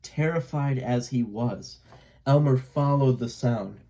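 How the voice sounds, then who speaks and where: Casting: man for children's read-aloud narration, medium pitch, slow deliberate pacing, expressive delivery. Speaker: storybook reader.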